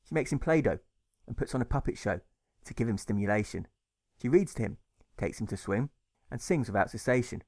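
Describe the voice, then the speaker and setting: A man reading a rhyming audiobook story aloud in measured, rhythmic phrases. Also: medium pitch, animated, darkish tone, no room sound, studio quality. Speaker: author reading his own audiobook. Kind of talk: scripted storybook narration in rhyme.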